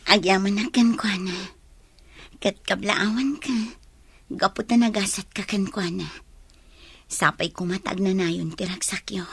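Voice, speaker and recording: A woman's voice: mid-range voice, fairly even intonation, a little slow, vocal fry, long pauses, fairly bright tone, husky, dry recording, heavily acted. A woman acting as a humble, sorrowful young woman full of remorse in a radio drama. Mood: humbly grateful. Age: young adult.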